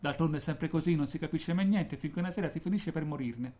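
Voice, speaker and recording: An actor playing a wry, world-weary undercover agent in a dystopian science-fiction radio drama, laconic and theatrical in delivery. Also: male voice, fairly high pitch, fast talker, dark tone, lightly acted. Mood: dry, guarded.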